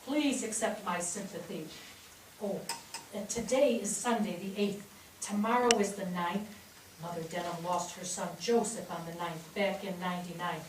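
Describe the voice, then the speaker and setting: A woman playing a brisk, motherly middle-aged daughter-in-law managing the household in a staged play reading. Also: fairly low pitch, lightly acted. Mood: considerate. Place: theatre stage, recorded from afar. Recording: distant noisy microphone in echoey theatre.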